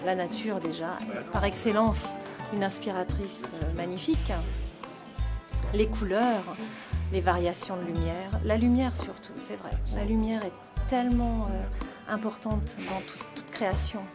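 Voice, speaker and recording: A woman's voice: mid-range voice, steady tone, a little slow. A woman from Guadeloupe, an exhibiting artist, talking about her work in an interview. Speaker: exhibiting painter. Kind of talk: spontaneous interview to camera. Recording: loud music behind.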